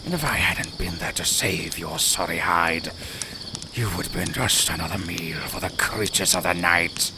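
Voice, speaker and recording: A man's voice: low voice, moderate pace, background noise, lightly acted. A man voicing a haughty, sardonic lone werewolf in an audio roleplay, speaking to the listener in formal, condescending, theatrical phrases. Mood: mocking, menacing. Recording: night forest ambience with crickets, clearly audible. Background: outdoor forest sounds, crickets.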